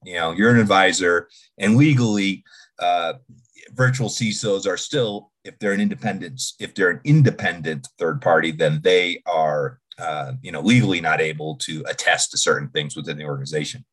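Deep-voiced halting man guest. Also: a bit bright, husky, rough recording. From Boston, United States. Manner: halting, restarts and repeats words. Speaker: podcast guest, security executive. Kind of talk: casual interview talk.

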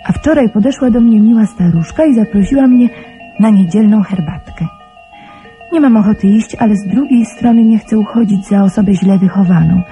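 An actress playing a quiet young woman who narrates a letter in a radio drama, gentle and reflective. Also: mid-range voice, lightly acted. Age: young adult.

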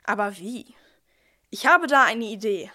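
A woman playing a brisk, managing archangel who narrates a nativity audio play. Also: fairly high pitch, lively intonation, pushed and bright. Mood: pragmatic, pondering.

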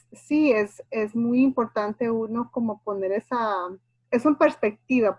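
A woman's voice: mid-range voice, no room sound, dull audio. A woman therapist talking in a reflective, conversational way, often checking in with the listener. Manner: warm, reflective, frequently asks ¿verdad?. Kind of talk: casual discussion.

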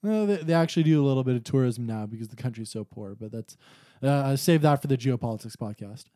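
Mellow-voiced man, wry podcast co-host. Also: medium pitch, expressive, quick pace, no room sound. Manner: wry, dry humour, wordy phrasing. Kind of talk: casual chat, interjecting and questioning.

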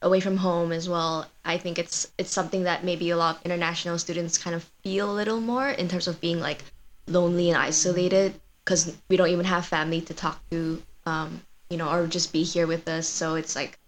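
A woman's voice: on the low side, level tone, fairly quick. A woman in her twenties from Malaysia, chatting as a student filmmaker guest in a loose, conversational way full of fillers. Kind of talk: casual chat.

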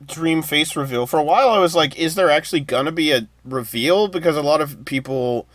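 A man's voice: a little high, brisk, fairly bright tone, no echo. A man in casual conversation, talking in a hedging, skeptical way. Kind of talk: casual chat.

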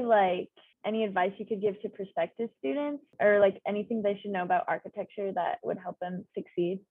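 A woman's voice: medium pitch, dry recording. A young woman student interviewing a guest over a video call, encouraging and appreciative. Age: young adult. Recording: dry, tinny call audio.

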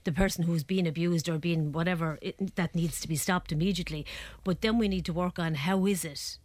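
A woman's voice: fairly low pitch, fairly even intonation, brisk, a little mellow, no echo. A woman, a psychotherapist guest on a radio interview, explaining earnestly while getting over a head cold. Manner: earnest, explanatory, frequent tag questions.

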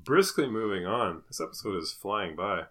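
A man's voice: on the low side, animated, normal speed, fairly bright tone. A man co-hosting a casual comedy podcast, bantering in a wry, teasing, jokey manner. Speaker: podcast co-host.

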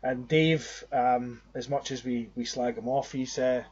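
A young man hosts a casual podcast chat, speaking earnestly and rambling through his thoughts. His voice sits at an ordinary middle pitch. The audio is a little muffled and lo-fi. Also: steady tone, unhurried, a little mellow, breathy edge. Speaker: podcast co-host.

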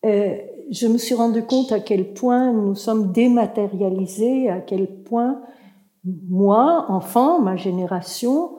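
A woman speaks slowly and reflectively as a panel guest, pausing to search for the right words. Her tone is mellow and soft. The recording carries a noticeable room echo. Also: on the high side. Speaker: translator and author guest. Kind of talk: panel conversation. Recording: roomy echo.

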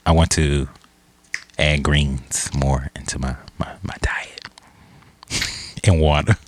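A man co-hosting a podcast, talking in an animated, slangy way with frequent laughter. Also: extremely low pitch, slow pace, no echo. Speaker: podcast co-host. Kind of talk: casual podcast chat.

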